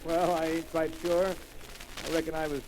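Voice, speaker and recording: An actor playing a slow, plain-spoken rural farmer in a 1930s radio drama, with a hesitant, rambling drawl, heard in a noisy old broadcast recording. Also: male voice, fairly high pitch, level tone, a little mellow, natural voice. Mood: drowsy, rambling. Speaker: farm owner interviewed on air.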